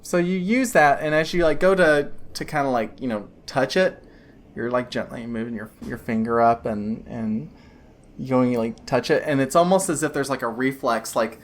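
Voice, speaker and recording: A woman's voice: rumbling low, moderate pace. A woman narrating as game master of a tabletop game, describing scenes in a rambling way full of restarts and fillers.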